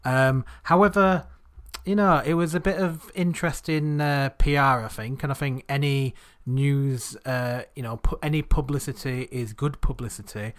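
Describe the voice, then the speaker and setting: A young man from Bradford co-hosting a podcast, rambling and laughing as his sentences trail off quietly. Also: medium pitch, normal speed, hardly any pauses. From Bradford, Northern England. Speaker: podcast co-host. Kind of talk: casual chat.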